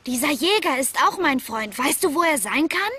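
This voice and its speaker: High-pitched, earnest cartoon boy. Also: animated, moderate pace, sharp tone. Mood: friendly. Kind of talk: dubbed cartoon voice acting. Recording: music behind the voice.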